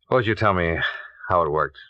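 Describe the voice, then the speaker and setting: Dry radio detective, dull vintage recording. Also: male voice, sits low, expressive, fairly quick, no room sound. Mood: probing, businesslike. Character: cool, wry male freelance insurance investigator. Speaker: lead actor and narrator of a detective radio serial. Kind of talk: 1950s radio detective acting, clipped narration.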